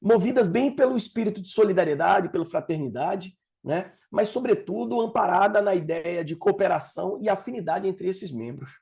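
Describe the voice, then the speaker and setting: A man's voice: high voice, lively intonation, normal speed, vocal fry, few pauses, darkish tone. A middle-aged man with a Bahian accent giving a professorial conference talk, explaining ideas with frequent fillers. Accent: Bahian Brazilian Portuguese. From Salvador, Bahia, Brazil. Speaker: project leader presenting at an event. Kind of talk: conference presentation.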